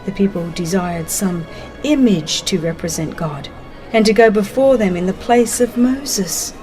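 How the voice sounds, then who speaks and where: A woman reads a religious history as a dramatized audiobook, in a solemn, measured manner with deliberate phrasing. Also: mid-range voice. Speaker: audiobook narrator. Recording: music under the voice. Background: background music.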